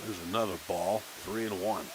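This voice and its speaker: A man calling a minor league baseball game on local radio, chatting casually between pitches. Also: normal speed, background noise. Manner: relaxed folksy chatter between pitches, rising excitement on big plays. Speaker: play-by-play announcer.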